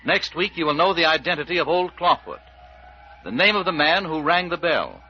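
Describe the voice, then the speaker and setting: A man's voice: fairly high pitch, animated, moderate pace. A man announcing an old radio serial in a dramatic, scripted, declamatory style. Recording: dull, faintly noisy old radio recording.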